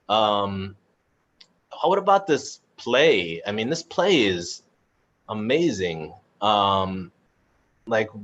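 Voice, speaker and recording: Low-voiced man hosting, slightly muffled sound. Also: fairly bright tone. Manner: hesitant, rambling, informal. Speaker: host of a theatre chat. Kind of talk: casual moderated online chat.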